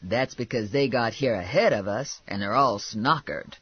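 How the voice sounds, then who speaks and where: A man voicing a brash, sassy, loudmouthed woman with blunt, slangy, indignant talk in an audiobook. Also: mid-range voice, moderate pace, slightly rough, no echo, muffled recording. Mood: teasing.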